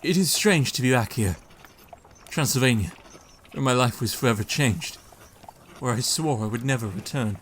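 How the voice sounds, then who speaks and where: A man's voice: mid-range voice, lively intonation, moderate pace, a little hiss or hum, lightly acted. An actor playing an anxious young male hero who narrates his journal in a brooding tone in an audio drama.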